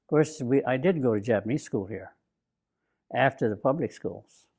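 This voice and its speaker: A man in his nineties from Bainbridge Island, Washington, telling his own memories in an oral history interview, slow and reflective, halting with fillers and restarts. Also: mid-range voice, animated, gravelly, darkish tone, breathy edge. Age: 90s. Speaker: interviewee, WWII-era Japanese American. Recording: interview recording.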